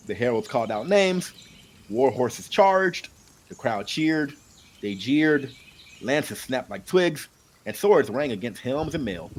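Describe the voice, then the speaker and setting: A man narrating an audiobook in an expressive, amateur storytelling style. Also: mid-range voice, husky.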